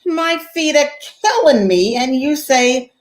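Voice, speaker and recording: A woman's voice: high-pitched, lively intonation, slow. A woman playing a brash, plain-spoken mother with blunt, slangy talk in a 1940s-style radio play. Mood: exasperated. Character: brash, plain-spoken, exasperated working-class city mother.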